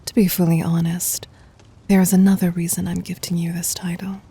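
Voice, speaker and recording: A woman performs a regal demon queen for an ASMR roleplay, in a lowish, soft and dark voice that is mostly breath. She speaks with formal poise and gentle dominance. Her commands come out tender, scheming and adoring. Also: flat delivery, fairly quick. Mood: regal, doting, composed. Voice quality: breathy, whispery.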